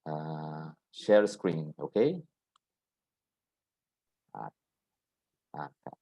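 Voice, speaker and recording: A middle-aged Brazilian man whose first language is Portuguese, giving a guest seminar over a video call, talking slowly with many hesitant pauses. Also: extremely low pitch, animated, creaky, dark tone. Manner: slow, hesitant, frequent drawn-out pauses. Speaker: guest lecturer. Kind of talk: academic seminar talk.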